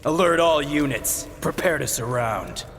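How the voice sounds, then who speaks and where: A man's voice: a little high, expressive, measured pace, fairly bright tone, slight background noise. A man playing a dutiful soldier with an official, clipped manner in a dramatic video game scene. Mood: businesslike. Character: dutiful military soldier reporting to captain. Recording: long reverb with music.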